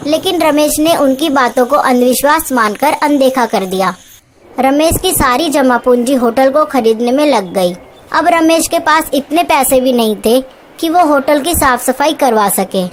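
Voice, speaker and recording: A woman's voice: high-pitched, animated, unhurried, slight background noise. A woman reading scripted narration for a horror story, as the narrator.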